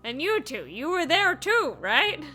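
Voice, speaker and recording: A woman's voice: sits high, sing-song, a little slow, pushed and bright, soft background music. A woman streaming a game, reacting and reading along in a playful, jokey way. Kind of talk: playful reactions and dialogue read-along while gaming.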